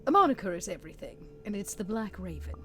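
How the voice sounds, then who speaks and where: A woman's voice: medium pitch, very animated, fast talker, bright, forceful tone, a little hiss or hum. A woman playing a poised, enigmatic vampire envoy in a tabletop game, speaking in an elegant, formal and coy manner. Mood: theatrical.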